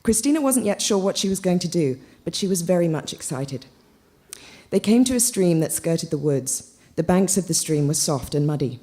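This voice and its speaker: Female literary reader, narrating prose, on the low side, warm and dark in tone. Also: lively intonation, moderate pace.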